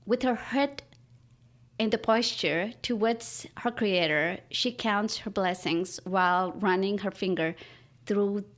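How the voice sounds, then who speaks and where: A woman's voice: medium pitch, takes it slowly. A woman artist whose first language is Urdu, speaking earnestly in a podcast interview and reading her own writing aloud. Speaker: artist guest.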